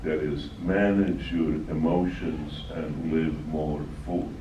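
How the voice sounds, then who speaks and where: An older man presenting at a talk, answering questions in a slow, measured way with pauses. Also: extremely low pitch, flat delivery. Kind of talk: Q&A session at a talk. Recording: echoey room from far off, dull and noisy.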